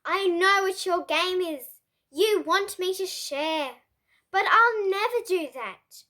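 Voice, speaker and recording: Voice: extremely high pitch, slow, pushed and bright, exaggerated voice. A child voicing a greedy, selfish pug dog from a storybook, emphatic and indignant.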